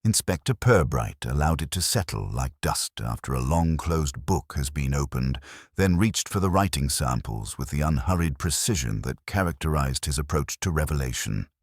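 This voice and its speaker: A man reading scripted mystery fiction as an audiobook narrator, with a measured, literary delivery. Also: rumbling low, lively intonation, no room sound, studio quality.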